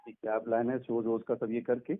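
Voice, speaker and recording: A man's voice: medium pitch, flat delivery, brisk. A man chatting casually on a phone call, informal, familiar and reassuring as he answers questions. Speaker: artist's manager, interviewee. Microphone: telephone. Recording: tinny phone call recording.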